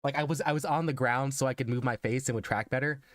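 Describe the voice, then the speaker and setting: A man's voice: mid-range voice, steady tone, quick pace, a bit bright, dry recording, studio quality. A male streamer talks in a casual, rambling way. He sounds informal and unscripted, with background music playing under his voice. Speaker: streamer and voice actor. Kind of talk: casual livestream chat.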